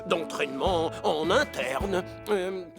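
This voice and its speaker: Un acteur de doublage français jouant un commandant de pompiers pompeux et vite embarrassé, dans un dessin animé. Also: male voice, sits high, takes it slowly, vocal fry, exaggerated voice. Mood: flustered. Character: blustering, flustered male fire station commander. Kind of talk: French cartoon dub. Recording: music behind the voice.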